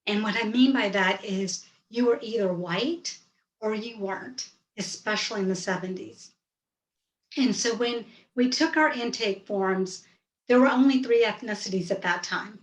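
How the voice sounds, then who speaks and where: A woman's voice: medium pitch, measured pace, roomy sound, poor audio. A woman in her fifties from rural Georgia, whose first language is Hindi, telling a personal story in vivid, scene-setting detail over a video call. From rural Georgia, USA.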